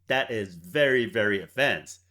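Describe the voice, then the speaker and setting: A man in his forties who grew up in Hong Kong, a software founder telling stories in a measured way as an interview guest. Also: on the low side, animated. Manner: measured, tags points with "right?". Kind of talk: reflective storytelling interview.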